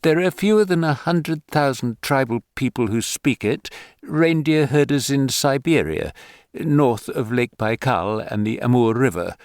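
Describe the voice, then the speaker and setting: A man's voice: mid-range voice, expressive, a little slow, a little mellow, no echo, studio quality, pitched above the performer's own voice. A man doing an English woman scholar on the phone. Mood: explaining.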